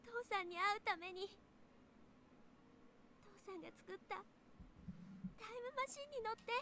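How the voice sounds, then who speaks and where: Very high voice, young anime heroine, earnest, resolute, visual novel game, light background noise. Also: female voice, fast, bright, forceful tone, heavily acted. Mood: earnest, emotional. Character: young woman time traveller, earnest, resolute daughter. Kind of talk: Japanese visual novel voice acting.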